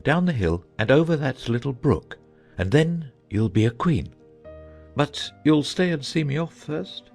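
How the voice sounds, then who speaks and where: A man plays a mild, earnest storybook knight with a soft-edged, mellow voice. He is wistful and a little doubtful, and faint music sits behind him. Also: mid-range voice, animated, a little slow, creaky. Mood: gentle, doubtful. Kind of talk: dramatized audiobook acting.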